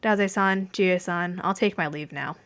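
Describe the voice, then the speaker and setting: A woman's voice: on the low side, normal speed, lightly acted. A woman voicing a reserved young female assassin, polite and a little hesitant, in a fan story.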